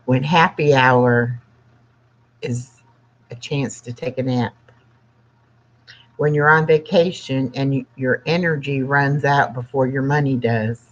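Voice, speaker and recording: A livestream host of sixty with a medium-pitched voice speaks slowly and plainly, pausing often between short phrases. The delivery is casual, moving between reading aloud and chatting to viewers. The sound is slightly dull and lo-fi.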